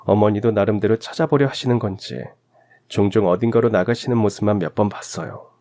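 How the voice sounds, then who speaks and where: Low-pitched man narrating a story. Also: fairly quick, warm tone. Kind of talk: scripted first-person story narration.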